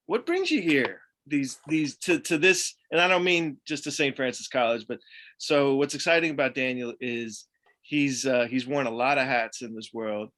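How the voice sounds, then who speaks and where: A man's voice: mid-range voice, a bit bright. Male interviewer, friendly, hesitant, lots of fillers. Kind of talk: relaxed conversational interview.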